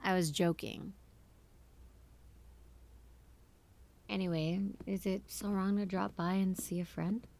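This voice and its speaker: A woman's voice: medium pitch, steady tone, moderate pace, creaky, a little mellow, lightly acted. A woman acting in an audio drama as a guarded, defiant operative, with a curt, blunt, dry delivery. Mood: dry teasing.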